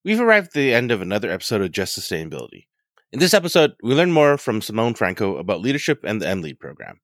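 A man's voice: mid-range voice, fairly bright tone, no room sound, clean studio sound. A man hosting a podcast, wrapping up the interview and reading the closing credits. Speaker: podcast host. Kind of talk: interview wrap-up and scripted outro.

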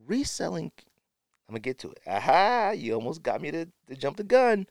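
A man's voice: fairly high pitch, sing-song, brisk, vocal fry, a little mellow, no room sound, studio quality. A middle-aged man hosting a podcast in a casual, opinionated monologue, speaking emphatically and repeating phrases for effect. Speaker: podcast host.

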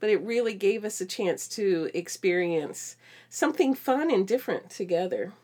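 A woman's voice: medium pitch, lively intonation, normal speed, mellow, slightly rough. A middle-aged woman chatting casually as a podcast co-host, recounting her own experiences. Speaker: podcast co-host, homeschooling mother. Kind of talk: casual conversational chat.

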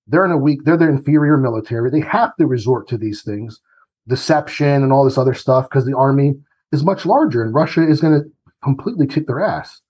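A man's voice: medium pitch, brisk, warm tone, husky, low-quality recording. A man giving his opinions as an interview guest, emphatic and argumentative, often acting out quoted voices. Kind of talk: opinionated political commentary.

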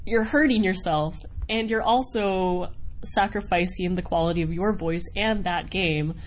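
A woman's voice: lowish voice, unhurried, low-quality recording. An American woman giving casual interview answers as a voice actor, chatty and rambling, with a giggly, self-deprecating way of talking. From United States (Wisconsin). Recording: noticeable background noise.

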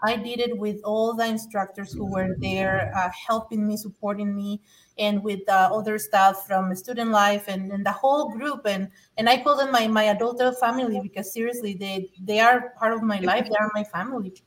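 A woman's voice: medium pitch, steady tone, normal speed, far from the mic, low-quality recording. A middle-aged woman from Mexico, earnestly telling her own story as a podcast guest, repeating words as she restarts sentences. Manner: earnest, emphatic, with frequent restarts. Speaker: guest, former adult learner. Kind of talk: personal testimony in conversation.

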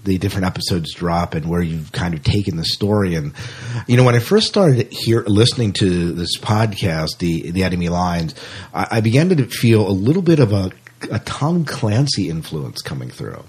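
A man's voice: sits low, very animated, normal speed, darkish tone, husky. A man hosting a podcast interview, chatting casually and rambling through restarts. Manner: rambling, many restarts, laughs often. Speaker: podcast host.

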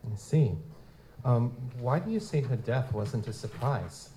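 A man's voice: mid-range voice, animated, unhurried, mellow, natural voice. A man playing a wry, wisecracking goblin private eye in a hard-boiled noir audio drama. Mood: polite, probing. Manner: wry, feigns hesitant politeness. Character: wisecracking male lutin goblin private investigator, narrating noir-style. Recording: noisy live stage recording.

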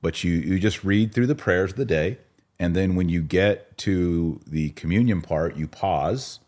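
A man's voice: sits low, unhurried, darkish tone. An American man hosting a podcast in casual, informal chat, reading out and answering listener comments. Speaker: podcast host.

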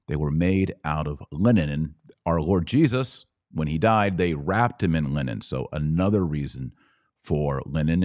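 A man with a low-pitched voice, recorded close and dry, gives casual podcast commentary. He explains like a teacher, then slips into informal asides to his listeners. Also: a little mellow, no room sound.

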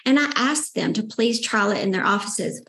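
A woman's voice: medium pitch, lively intonation, moderate pace, creaky, fairly bright tone, echoey room. A woman with a Southern accent and a sweet-toned voice, telling her story as a guest in a casual interview, candid and determined. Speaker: guest, founder and CEO.

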